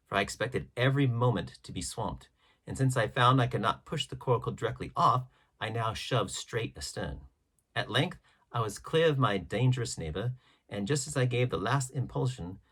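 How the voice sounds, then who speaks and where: A man narrates a literary adventure tale as an audiobook reader. His voice sits at a medium pitch and has a husky, unpolished grain to it. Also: a bit bright.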